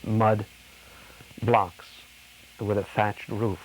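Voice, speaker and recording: An older man from Slovakia, recounting his life in a measured, slightly formal way. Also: lowish voice, little pitch movement, a little hiss or hum, low-quality recording. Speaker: interviewee. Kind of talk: personal oral-history reminiscence.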